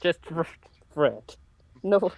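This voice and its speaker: A man with a slightly high voice takes part in a casual tabletop game chat. He speaks very slowly and haltingly, often going back over his words. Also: animated, creaky, dull audio, slight background noise. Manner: halting, repeats himself. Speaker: player in a tabletop role-playing group.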